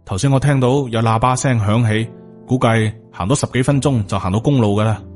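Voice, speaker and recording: Stoic Taoist master for an audiobook, read by a man, Hong Kong Cantonese, subdued and lowish, unhurried, faint music. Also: close to an everyday voice. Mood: indifferent. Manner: flat, unhurried, lecturing. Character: stoic, world-weary male Taoist master.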